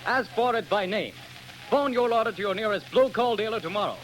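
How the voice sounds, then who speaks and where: A man announcing a sponsor's message on an old radio show in a booming, emphatic way. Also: lively intonation, a little fast, a bit bright, a little hiss or hum, poor audio. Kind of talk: 1930s radio advertisement announcing. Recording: old radio recording with music.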